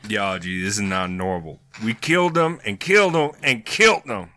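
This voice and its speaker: A man putting on the voice of a weary older mother, speaking low, flat and deadpan in a bright, tense voice that drops a little under his usual pitch. She sounds fed up and quotes it straight. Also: normal speed. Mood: exasperated.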